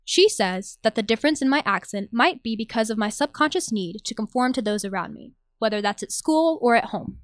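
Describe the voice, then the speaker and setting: A woman's voice: fairly high pitch, expressive, a little fast, hardly any pauses, no echo, studio quality. A young American woman from Tennessee reading a scripted personal audio essay as its narrator. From Nashville, Tennessee, USA. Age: young adult. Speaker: narrator, journalism student.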